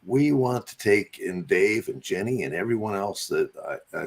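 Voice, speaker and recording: Male host, low husky voice, halting and repetitive, earnest preacher-like talk. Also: lively intonation. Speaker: radio show host. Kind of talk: casual religious talk.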